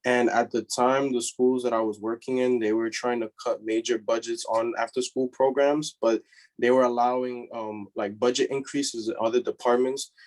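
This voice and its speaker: A man's voice: medium pitch, little pitch movement, normal speed, a little mellow. A young man, a student, sharing a memory on a video call in a casual way with many fillers.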